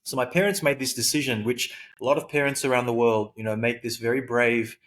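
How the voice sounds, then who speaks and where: A man's voice: mid-range voice, steady tone, a little fast, a bit bright. A man from Sri Lanka, raised in Australia, telling his life story as a guest in an interview, thoughtful and full of fillers. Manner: thoughtful, frequent fillers and self-corrections. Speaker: guest, high-performance coach.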